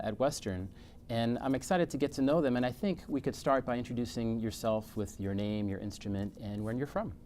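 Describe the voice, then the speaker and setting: A man's voice: medium pitch, a little mellow, slight background noise. A man hosting an interview as a music professor. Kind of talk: hosted interview.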